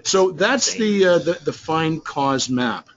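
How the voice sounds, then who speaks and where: A man's voice: a little high, animated, slow pace, muffled recording, slight background noise. A man working as a business trainer, talking through slides in a loose, rambling way with many restarts and fillers. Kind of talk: explaining slides in a recorded webinar.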